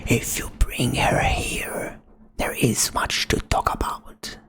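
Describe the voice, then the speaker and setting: A woman voicing a huge, proud and hungry cave dragon in a slow, drawn-out whisper. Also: soft background music, close to an everyday voice. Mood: bargaining.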